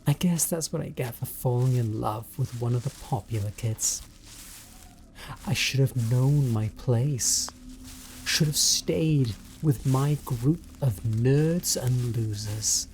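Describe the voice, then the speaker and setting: A man with a British accent playing an obsessive, bitter spurned stalker in a horror audio roleplay, speaking in a deliberate, coldly taunting way. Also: medium pitch, a little mellow, slight background noise, rough recording.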